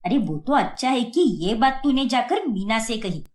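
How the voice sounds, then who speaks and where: A woman's voice: fairly high pitch, expressive, normal speed, darkish tone, voice pushed down, close to an everyday voice. A woman voicing a stern, middle-aged Indian mother-in-law in a Hindi cartoon moral story, scolding and exasperated. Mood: indignant, scolding.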